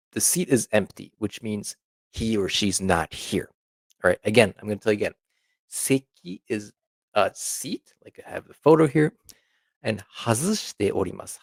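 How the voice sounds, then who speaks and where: A man with a lowish voice and plenty of vocal fry presents a language lesson in an informal, explanatory way. He repeats example phrases and checks in often as he goes. The sound is very dry, with hardly any room in it. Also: dry recording. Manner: conversational, checks in often with right and okay.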